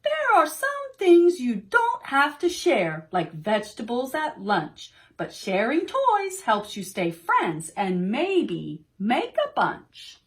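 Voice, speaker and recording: High-pitched, singsong, playful little monster, rowdy, exuberant, children's storybook character, acted by a woman. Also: huge pitch swings, slow, hardly any pauses, full character voice. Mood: coaxing.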